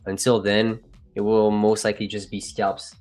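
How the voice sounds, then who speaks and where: A man's voice: on the low side, level tone, moderate pace, a little mellow, soft background music. A man with a French accent hosting a live trading stream, chatting casually and joking with viewers. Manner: joking, laughs often, trails off and restarts. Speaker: livestream trading host.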